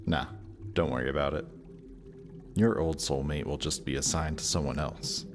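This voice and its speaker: A man's voice: extremely low pitch, steady tone, normal speed, soft background music. A man playing a death god's smug, lovestruck young son in a romantic audio roleplay, talking casually and teasingly to the listener. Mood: persuasive, casual.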